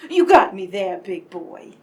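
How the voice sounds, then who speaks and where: A woman acting as a tipsy lady sleuth from a comic radio mystery. Her voice swings extravagantly from high to low. She takes her time and teases with theatrical relish. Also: sing-song, a little slow, darkish tone. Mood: teasing. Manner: theatrical, teasing.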